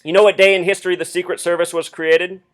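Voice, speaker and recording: Man, slightly high pitch, earnest caller, explanatory monologue, insistent, deliberate, questioning. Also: level tone. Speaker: caller phoning the police with a theory.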